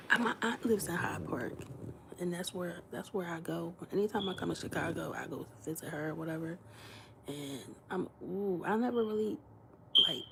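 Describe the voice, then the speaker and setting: A young woman live-streaming indoors in someone else's house, chatting casually with her viewers in a hushed, low voice that often drops to a whisper, and acting out the voices in her stories. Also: vocal fry, noisy background. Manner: animated storytelling, acting out dialogue.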